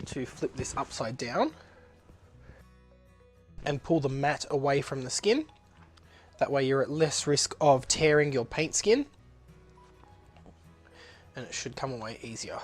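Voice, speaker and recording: A man's voice: medium pitch, animated, a little slow, pauses often, faint music behind. A man with a blocked-up nose, narrating a casual craft tutorial as he works, chatty and easygoing, with plenty of ums and uhs. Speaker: resin artist demonstrating a project.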